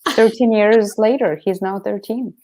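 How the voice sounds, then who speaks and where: A middle-aged woman from the Soviet Union whose first language is Russian, telling stories as an interview guest in a chatty, animated way with many restarts. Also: medium pitch, normal speed. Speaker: author guest. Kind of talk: casual interview storytelling.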